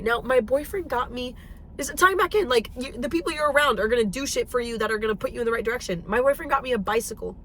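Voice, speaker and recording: A woman's voice: fairly high pitch, a bit bright. A woman in her twenties chatting casually and emphatically while driving, blunt and swearing freely. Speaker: fitness vlogger. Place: in a car. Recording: faint car noise behind.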